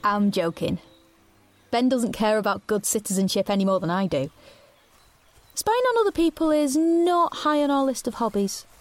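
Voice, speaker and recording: A woman's voice: on the high side, lively intonation, moderate pace, close to an everyday voice. An actress playing a wry, reflective young woman who narrates her own story confidingly in a sci-fi audio drama. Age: young adult. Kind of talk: first-person scripted drama narration.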